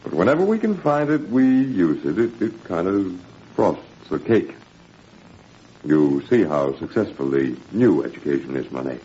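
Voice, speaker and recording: Smug, deep-voiced Nazi official. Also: male voice, sing-song, moderate pace, mellow. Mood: interrogating, gloating. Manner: sneering, mock-courteous, taunting. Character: smug, menacing Nazi official, mockingly polite. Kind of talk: 1940s radio acting. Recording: muffled, hissy 1940s radio recording.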